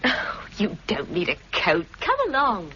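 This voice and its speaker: An actress playing Mary, a bright, teasing young woman on a Scottish island, in a 1960s radio drama. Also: on the low side, measured pace, full character voice. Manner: lively, playful, laughs easily. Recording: dull lo-fi archive radio recording, faint hiss.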